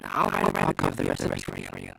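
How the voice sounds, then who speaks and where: A man voicing a calm, courteous young alchemist in an anime-style game, measured, precise and formal, with a scientific mind. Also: rumbling low, heavily acted. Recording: clear noise and ambience behind.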